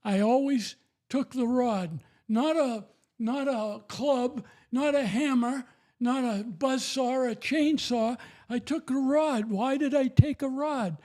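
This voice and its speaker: A man's voice: very high-pitched, a little mellow. An older man giving an emphatic, repetitive, preachy testimony about faith and raising children.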